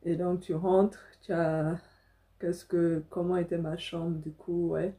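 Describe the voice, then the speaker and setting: A woman's voice: lowish voice, level tone, slow, warm tone. A young adult woman from Africa telling a personal story casually to camera as a vlogger, indoors in a small room.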